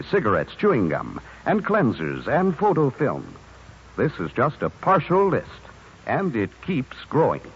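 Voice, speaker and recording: A man's voice: medium pitch, slightly rough. A man working as an announcer, reading a scripted 1950s radio commercial. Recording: muffled, noisy 1950s radio.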